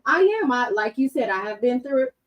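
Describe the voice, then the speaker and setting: A woman's voice: on the high side, brisk, far from the mic, muffled recording. A woman panelist giving faith-based personal testimony in emphatic, repetitive phrases.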